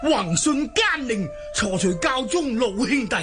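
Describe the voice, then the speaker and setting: An actor with a Hong Kong accent playing a weary, dignified old martial-arts recluse in a radio drama, mournful and halting. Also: male voice, extremely high pitch, expressive. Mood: bitter, resigned. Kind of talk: Cantonese radio drama acting. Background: music.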